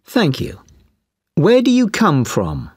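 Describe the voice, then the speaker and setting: A woman playing an examiner in a speaking test, asking each question slowly and clearly. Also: lowish voice, wildly swooping pitch, a little mellow, no echo, clean studio sound. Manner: slow, clearly spaced questions. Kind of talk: scripted exam interview.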